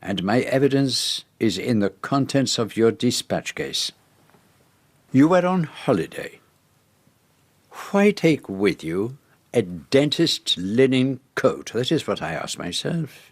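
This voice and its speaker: An actor playing a shrewd, assured detective in a radio drama, with a Belgian French accent and deliberate, formal, precise phrasing. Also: male voice, medium pitch, very animated, breathy edge, no echo, heavily acted. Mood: methodical, triumphant. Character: shrewd, assured male detective laying out a murder.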